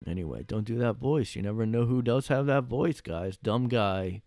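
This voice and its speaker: A man's voice: mid-range voice, normal speed, darkish tone, dry recording. A man hosting a casual comedic solo podcast from his bedroom, rambling with jokes and fillers. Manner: rambling, jokey, with frequent fillers. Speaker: podcast host.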